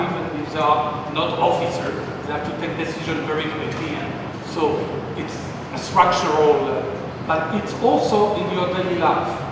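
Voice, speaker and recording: A man from France with a French accent, speaking off the cuff at a panel and haltingly searching for words. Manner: halting, searching for words in non-native English. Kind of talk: unscripted panel remarks at a seminar. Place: large reverberant seminar hall. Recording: very echoey hall, distant mic, noisy rumble.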